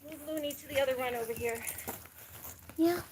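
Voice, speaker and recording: A woman's voice: high-pitched, brisk, heavy background noise. A young woman chatting casually to camera while doing farm chores outdoors, laughing often and cooing at her animals. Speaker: farm vlogger.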